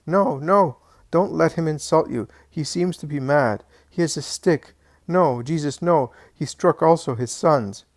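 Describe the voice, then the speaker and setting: A man's voice: on the high side, unhurried, no room sound, pitched above the performer's own voice. A man voicing a distressed woman who begs her angry husband and her nephew in a tearful, imploring voice. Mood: pleading. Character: tearful pleading middle-aged woman.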